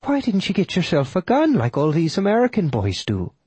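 A frail elderly Irish widow in a storybook reading, her voice tiny, high and quavering. She is fearful yet sharp-tongued, with an Irish accent. The sound is dry and close. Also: wildly swooping pitch, brisk, slightly rough, dry recording, heavily acted. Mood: doubtful, questioning. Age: older.

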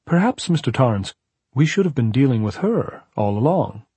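A man's voice: mid-range voice, very animated, moderate pace, mellow, husky, dry recording, voice pushed up. Guy doing a creepy polite ghost butler, mild and proper, insinuating, very dry close sound. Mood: regretful, taunting. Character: mild, well-bred male ghostly servant, coldly polite. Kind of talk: audiobook character voice.

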